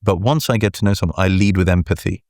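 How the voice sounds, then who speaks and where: A man with a deep voice answering interview questions as a guest, measured and deliberate, often checking in with "right?". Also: darkish tone, no echo, studio quality. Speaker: venture capital partner, guest.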